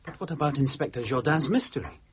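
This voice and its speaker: An actor playing a polite, affable artist in a period radio drama, speaking courteously and with gentle hesitation. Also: male voice, on the high side, animated, fast talker, highs cut off, natural voice. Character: polite, affable artist, the detective's admiring friend.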